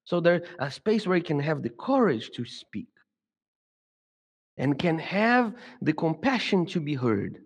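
A man's voice: on the high side, sing-song, husky. Brazilian man speaking in a reverberant hall. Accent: Brazilian Portuguese. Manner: halting, many repeated words and restarts. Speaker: speaker at a church gathering. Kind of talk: reflective talk to a congregation.

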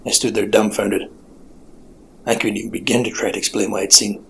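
A man playing a gruff, weary old detective with a deadpan, sardonic manner, narrating a noir audio drama. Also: lowish voice, a little fast, a little hiss or hum. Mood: brooding.

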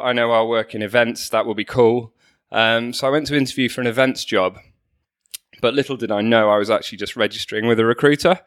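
A man's voice: medium pitch, fairly bright tone, clean tone. A man from Manchester, a podcast guest, telling his story in a light, joking and self-deprecating way. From Manchester, England. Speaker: guest, company co-founder. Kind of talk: podcast interview.

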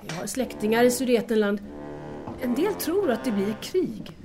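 A woman's voice: on the high side, sing-song, music in the background. An actress playing a young, searching woman in an audio drama, speaking politely and hesitantly with formal address. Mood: uncertain, worried. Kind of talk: Swedish audio drama dialogue.

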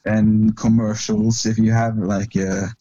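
Low, dark-voiced Norwegian man. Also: flat delivery, dry recording, highs cut off. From Norway. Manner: rambling, halting with fillers. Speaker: guest singer-songwriter. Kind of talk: casual podcast conversation.

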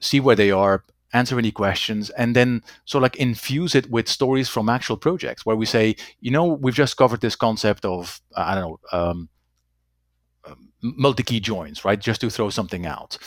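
Low-voiced man, halting speech. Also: dry recording, clean studio sound. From Belgium. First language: Dutch. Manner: halting, repeats words, tags sentences with "right?". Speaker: company executive guest. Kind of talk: conversational interview answers.

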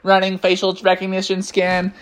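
A woman's voice: medium pitch, completely flat delivery, moderate pace, close to an everyday voice. A woman voicing a literal-minded robot bear in a children's story, announcing things in a stilted, formal way. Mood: triumphant.

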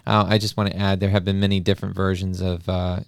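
Smooth, lower-pitched man hosting story readings. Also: little pitch movement, fast talker, no echo, studio quality. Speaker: host of a story-reading show. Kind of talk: dramatic poem reading and casual chat.

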